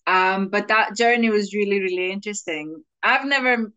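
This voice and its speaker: A woman's voice: medium pitch, moderate pace, pushed and bright, muffled recording. A woman in her thirties from Slovenia, a native Slovenian speaker, chatting enthusiastically as an interview guest. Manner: enthusiastic, chatty, laughs often. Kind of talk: interview answers.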